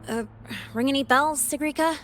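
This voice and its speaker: A woman playing a composed, thoughtful young investigator in a video game, calm and pondering. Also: sits high, normal speed, slight background noise. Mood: pensive. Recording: music under the voice.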